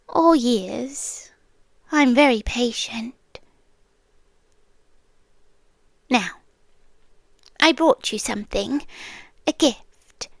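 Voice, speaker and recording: A possessive yandere sea creature played by a woman speaks in a high British voice, lingering very slowly over each phrase. She is sweetly menacing, soft and half-whispered. It is an intimate ASMR roleplay, close on the mic and completely dry. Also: no echo, full character voice. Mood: patient, possessive. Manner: soft, breathy half-whisper, drawn-out pauses. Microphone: close ASMR microphone.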